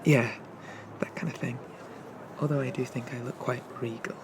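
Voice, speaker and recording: A man voicing a shy, friendly sea dragon barista in a soft-spoken ASMR roleplay, rambling and hesitant as he eagerly explains things. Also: mid-range voice, steady tone, moderate pace, background noise, lightly acted.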